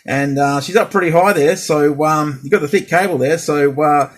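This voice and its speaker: A man's voice: on the high side, normal speed. A man in a casual radio chat as a CB operator, speaking hesitantly and repeating himself. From Australia.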